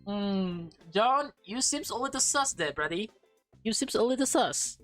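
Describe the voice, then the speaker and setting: A woman's voice: medium pitch, animated, a little slow, a bit bright. A woman hosting a gaming video, giving casual, playful commentary and reading dialogue aloud with small stumbles. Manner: informal, playful reacting, stumbles while reading. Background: background music.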